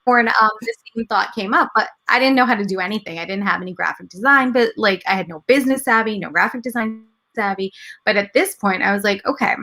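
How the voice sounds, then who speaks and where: A woman's voice: medium pitch, quick pace, bright, forceful tone. A woman in her thirties whose first language is Gujarati, telling her story in a casual interview and often quoting her own past thoughts. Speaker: guest, entrepreneur and mother.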